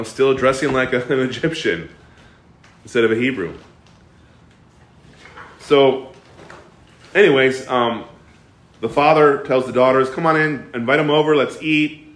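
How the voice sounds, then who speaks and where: Male Bible study leader, mid pitch, animated and questioning, echoey room. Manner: animated, asks the class questions, frequent fillers and restarts. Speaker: bible teacher. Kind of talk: interactive bible study teaching.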